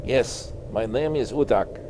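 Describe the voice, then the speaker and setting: A man's voice: mid-range voice, a little slow, background noise, close to an everyday voice. A man voicing a friendly, helpful hunter guide from a comic book, speaking in terse, simple broken phrases. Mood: plain, helpful. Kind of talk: comic book read aloud.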